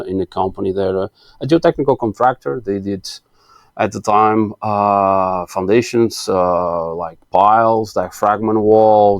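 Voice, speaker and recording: A man's voice: fairly low pitch, gravelly, dry recording. A man in his thirties from Portugal with a Portuguese accent, a guest engineer in a casual interview, hesitant with many fillers and restarts. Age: 30s.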